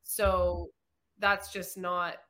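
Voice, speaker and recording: A woman's voice: fairly low pitch, level tone, extremely slow, fairly bright tone. A young woman from Canada, a guest chatting casually in an interview, with many "like" fillers.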